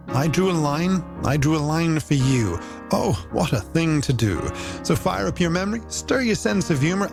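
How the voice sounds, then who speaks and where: A man's voice: fairly high pitch, creaky. A man presenting a late-night music radio show in playful, theatrical, florid patter from a studio. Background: music bed under the voice.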